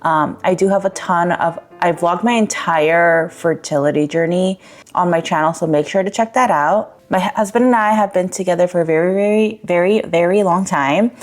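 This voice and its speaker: A young woman giving a candid personal vlog update, rambling a little with fillers, her voice slightly raspy. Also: lowish voice, moderate pace. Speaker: vlogger.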